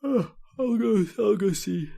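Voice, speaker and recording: Casting: male actor as scornful older husband in radio horror, snappish and haunted, with wildly varied pitch. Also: expressive, measured pace, creaky, warm tone, full character voice. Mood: irritable, scornful. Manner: impatient, snapping, muttering broodingly. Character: irritable, scornful older husband, a rose grower haunted by memory. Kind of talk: old-time radio horror acting.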